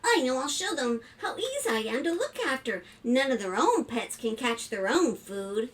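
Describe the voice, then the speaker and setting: A woman's voice: high voice, sing-song, normal speed, voice pushed up. A woman voicing a lonely little spider from a children's storybook, eager and pleading, longing to be a pet. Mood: eager boasting.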